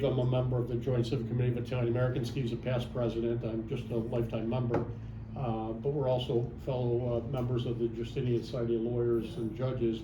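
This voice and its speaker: A man's voice: mid-range voice, dull audio. Matter-of-fact man in an echoey room. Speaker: workshop participant, lawyer. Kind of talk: self-introduction at a workshop. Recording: echoey room, background noise.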